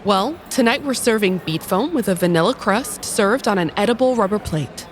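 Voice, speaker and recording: A woman's voice: medium pitch, expressive, normal speed, a little hiss or hum, close to an everyday voice. A woman playing an unflappable, obliging restaurant hostess in a comedy audio drama, with polite customer-service delivery.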